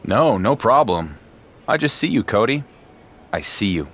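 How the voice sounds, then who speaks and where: An actor playing a young champion wrestler who talks in a dry, sarcastic way. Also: male voice, lowish voice, big pitch swings, unhurried, fairly bright tone, slightly rough, heavily acted.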